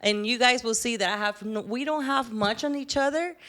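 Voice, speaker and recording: A woman's voice: on the high side, brisk, fairly bright tone. A woman with a Spanish-speaking Caribbean accent hosting a podcast interview, effusive and affectionate, laughing often. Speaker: podcast host. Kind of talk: casual interview chat.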